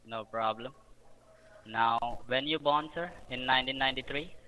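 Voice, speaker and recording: A man's voice: medium pitch, moderate pace, bright, forceful tone. A man posing as an official on a phone call, asking questions insistently in broken, ungrammatical English.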